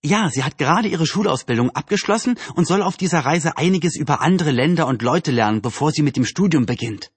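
Storybook young girl, played by a male narrator: enthusiastic, earnest little sister with a raised pitch. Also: animated, brisk, few pauses, husky, dry recording. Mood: eager. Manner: enthusiastic, earnest, indignant when doubted.

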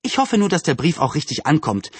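Man voicing a lively chatty young girl, pitched up. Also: brisk, husky, no room sound. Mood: chatty, teasing.

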